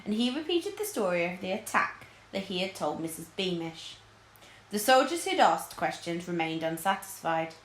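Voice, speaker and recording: A woman reading a children's book aloud as scripted, expressive narration. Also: lowish voice, moderate pace, a little hiss or hum. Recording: roomy, echoing indoor sound.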